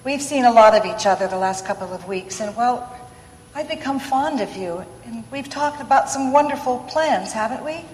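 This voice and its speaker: A woman's voice: a little high, animated, normal speed, darkish tone, a little hiss or hum, close to an everyday voice. A woman acting a practical, skeptical sweetheart in an old-time radio play. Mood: disappointed. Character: practical, skeptical woman, fond but exasperated. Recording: echoey hall, stage radio play.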